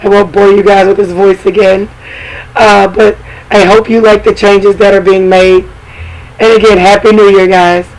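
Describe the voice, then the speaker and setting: A hoarse woman with a cold hosting a podcast in casual, chatty talk full of fillers and asides. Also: mid-range voice, level tone, moderate pace, darkish tone, poor audio. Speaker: podcast host.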